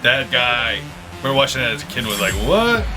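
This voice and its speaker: A man's voice: mid-range voice, sing-song, a little fast, music in the background. A man hosting a casual reaction video, commenting in loose, slangy, sweary talk as if chatting with buddies. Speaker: YouTube reaction host, streamer.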